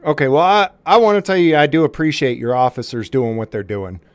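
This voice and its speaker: A man's voice: on the high side, brisk, smooth, no echo. A man making a prank phone call to a police department, mock-serious and faux-sincere, often restarting his sentences.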